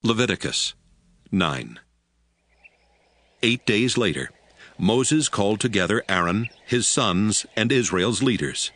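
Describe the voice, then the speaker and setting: A man reading scripted scripture narration for an audiobook in a measured, solemn way. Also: fairly low pitch, expressive, fairly bright tone, dry recording. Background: music.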